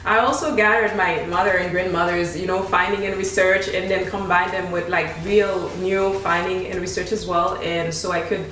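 Haitian-accented woman nutritionist, animated casual talker, a bit off-mic in a roomy kitchen. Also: on the low side, moderate pace, hardly any pauses. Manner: animated, laughs often, restarts sentences. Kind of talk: casual chat. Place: home kitchen, indoors. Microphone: desk mic on tripod, camera at a distance. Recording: echoey room, voice from a distance.

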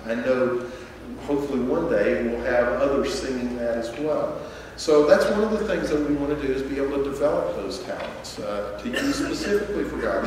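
A man in his forties gives an earnest, steady church address from a pulpit, pausing on fillers as he speaks. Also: mid-range voice, slight background noise. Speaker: church program leader. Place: large church auditorium. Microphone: podium mic at a distance. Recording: echoey hall, voice heard from far off.

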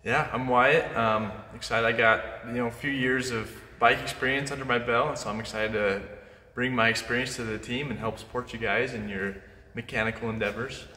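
A young man, a bike mechanic, introducing himself in a soft-spoken way that trails off. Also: mid-range voice, steady tone, moderate pace. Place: large warehouse among bikes. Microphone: camera at a distance. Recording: roomy warehouse echo from a distance, faint hum.

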